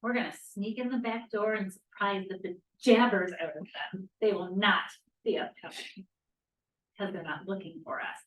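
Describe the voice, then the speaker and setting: A woman's voice: mid-range voice, fairly even intonation, moderate pace, fairly bright tone, natural voice. Doubting 1940s young woman actor, distant and echoey. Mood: wry. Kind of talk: script read-through acting. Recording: distant, echoey room microphone.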